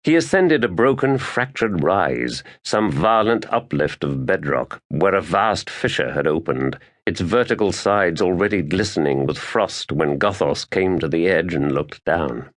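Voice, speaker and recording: Deep voiced guy reading an epic fantasy audiobook, grave and measured, dramatic storyteller style. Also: lively intonation. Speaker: audiobook narrator. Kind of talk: scripted narration of epic fantasy.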